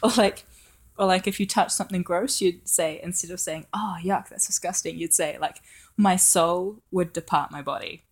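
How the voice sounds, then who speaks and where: A woman's voice: on the low side, normal speed, husky. A young woman co-hosting a podcast in casual chat, playful, laughing a lot and acting out quotes. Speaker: podcast co-host.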